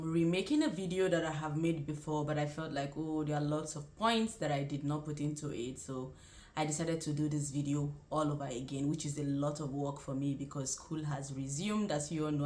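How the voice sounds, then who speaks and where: A young woman with a Nigerian accent speaks in a low-pitched voice. She talks in a casual, conversational vlog style, offering advice and rephrasing her points as she goes. The sound is echoey, as if recorded in a bare room. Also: a little mellow. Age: young adult. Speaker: vlogger and nursing student. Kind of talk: casual direct-to-camera advice chat. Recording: roomy, echoing sound.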